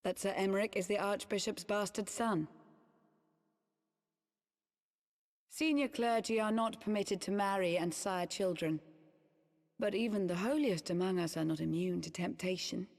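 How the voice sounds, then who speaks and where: A resolute lady knight, voiced by a woman for a fantasy game, her voice ringing with long reverb. She speaks at a middle pitch in formal, archaic phrases, eloquent and earnest, steadfast in her loyalty. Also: normal speed, darkish tone, close to an everyday voice.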